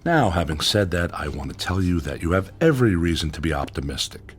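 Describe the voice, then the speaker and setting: Hospital doctor, man, low-pitched, expressive range, formal, authoritative, careful, radio drama. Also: brisk. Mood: measured, reassuring.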